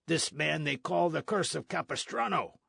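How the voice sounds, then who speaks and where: A man doing a blustering gruff army sergeant. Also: a little high, animated, normal speed, a bit bright, no room sound, studio quality, pitched above the performer's own voice, heavily acted.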